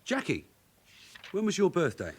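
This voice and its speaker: An actor playing a shrewd veteran police sergeant, brisk and commanding, in a radio crime drama. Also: male voice, high-pitched, wildly swooping pitch, breathy edge, full character voice. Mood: cool, pressing.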